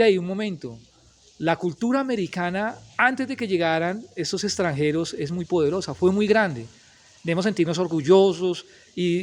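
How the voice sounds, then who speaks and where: A man, asking long, emphatic interview questions. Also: high-pitched. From Colombia.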